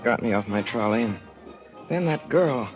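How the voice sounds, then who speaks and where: A man's voice: medium pitch, lively intonation, moderate pace, natural voice. An actor playing a naive young man from the Midwest who rambles through his story in a folksy, boyish way, on an old radio play. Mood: earnest. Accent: Midwestern American. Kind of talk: 1930s radio drama narration. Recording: dull 1930s radio broadcast with music.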